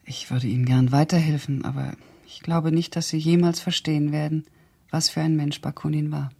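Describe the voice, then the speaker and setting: An actress playing a blunt, sardonic older woman with a husky, gravelly voice, indignantly loyal to an old friend, recounting memories in a German radio drama. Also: low voice, fairly even intonation, dark tone, full character voice. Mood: dismissive, pointed. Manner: blunt, sardonic, deliberate storytelling.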